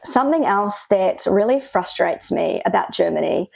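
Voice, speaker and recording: A woman's voice: medium pitch, expressive, normal speed, creaky, darkish tone, no echo, low-quality recording. A woman from New Zealand with a New Zealand accent, talking earnestly to camera as a vlogger, with reflective "you know" asides. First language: English. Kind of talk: casual talk to camera.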